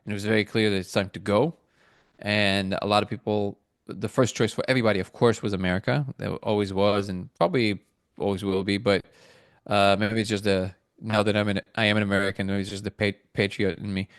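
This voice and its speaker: A middle-aged man from Russia who later moved to Israel, answering reflectively as an interview guest, haltingly restarting phrases as he thinks aloud. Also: lowish voice, fairly even intonation, no room sound, studio quality. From born in Moscow, Russia, moved to Israel.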